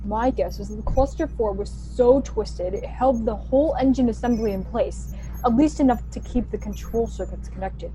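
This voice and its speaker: Woman playing analytical young space colonist, slightly high mellow voice, matter of fact, radio play, faint music. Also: normal speed, lightly acted, poor audio. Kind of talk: science fiction radio play acting.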